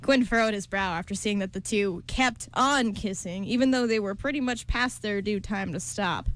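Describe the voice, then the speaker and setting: A young woman narrating a romance script aloud for an amateur radio show, in a steady storybook manner. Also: medium pitch, moderate pace.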